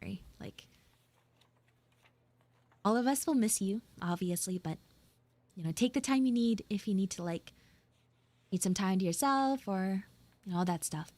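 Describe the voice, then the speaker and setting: A woman streamer talks casually to her viewers at a medium pitch. Her delivery is informal and a bit halting, and the sound is close and dry. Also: animated, a little mellow, dry recording. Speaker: livestreamer and VTuber host.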